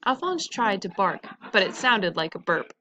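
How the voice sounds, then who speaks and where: A woman's voice: medium pitch, big pitch swings, normal speed, highs cut off, noisy background. A woman reading a children's story aloud in a narrating style. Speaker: storybook reader.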